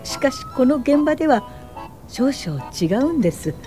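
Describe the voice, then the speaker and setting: A woman playing a composed, politely firm older welfare pioneer in a radio drama, with measured narration and dialogue. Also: high-pitched, very animated, music in the background. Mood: gently firm.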